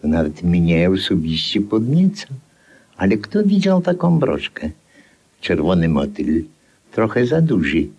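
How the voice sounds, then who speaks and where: An actor playing a crude, hungry, sardonic dinner guest in a 1950s radio comedy, muttering mocking asides. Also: male voice, sits low, huge pitch swings, a little slow, a little mellow, slightly rough, muffled recording, heavily acted.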